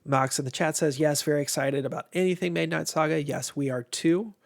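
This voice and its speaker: Congested American man, creaky casual voice. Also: a little high, fairly even intonation, a little fast. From Midwestern US, Ohio. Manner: rambling, informal, mildly profane. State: congested with allergies, voice tiring. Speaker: host of a game publisher's livestream. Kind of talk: casual livestream chat.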